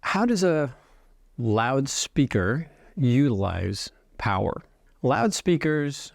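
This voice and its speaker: Male technical explainer, mid-pitched, measured and deliberate with pauses, close dry recording. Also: dry recording. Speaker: loudspeaker company founder and designer. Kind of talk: explanatory product talk to camera.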